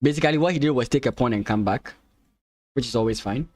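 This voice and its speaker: A man's voice: mid-range voice, fast talker, a little mellow. A man from Ghana, a native Ga speaker, commentating chess lines on a live stream, thinking aloud with hesitant restarts and easy laughter.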